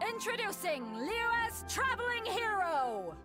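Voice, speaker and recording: A woman's voice: very high-pitched, big pitch swings, slow, loud music behind the voice, exaggerated voice. A woman voicing a bold, commanding sea captain in a video game, with a theatrical announcer's delivery. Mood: rousing, proud. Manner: rousing, theatrical announcer delivery with frank asides.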